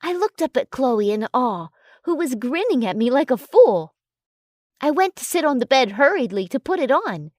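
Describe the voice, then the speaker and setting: A woman's voice: high-pitched, very animated, normal speed, a little mellow, dry recording, studio quality. A woman reading a first-person romance story as a scripted narration.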